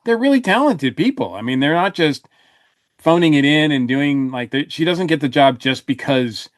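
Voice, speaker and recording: A man's voice: on the high side, animated, moderate pace, no room sound, studio quality. A man chatting casually as a podcast panelist, often hesitating and repeating words as he gives his opinion. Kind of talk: casual review chat.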